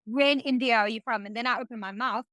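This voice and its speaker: A young woman from South Africa co-hosts a casual podcast, animated and curious as she asks questions. Her voice is bright and forward, pitched a little high. The sound is dry and close-miked. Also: quick pace, no room sound. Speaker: podcast co-host. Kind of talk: casual chat.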